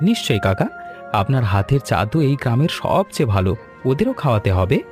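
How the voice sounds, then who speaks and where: A man's voice: mid-range voice, big pitch swings, fast talker, darkish tone, faint music behind, natural voice. A man voicing a polite, grateful young village man in a cartoon. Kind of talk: cartoon voice acting.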